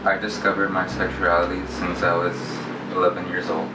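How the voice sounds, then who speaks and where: A man's voice: low voice, monotone, fairly quick. A twenty-year-old man giving short, hesitant interview answers. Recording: roomy, distant mic. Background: soft background music.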